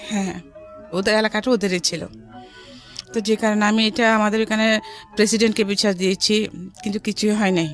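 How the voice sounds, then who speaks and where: A middle-aged woman with a Bangladeshi accent recounts her own life in an interview. Her pitch is average for a woman, and she drops English words into conversational storytelling. Faint music plays behind her. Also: little pitch movement, a little fast, pauses often.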